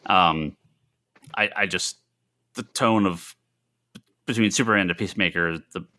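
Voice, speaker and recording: Low, bright-voiced man, halting and rambling. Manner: halting, rambling, thinking aloud. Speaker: podcast co-host. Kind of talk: casual chat about comics and films.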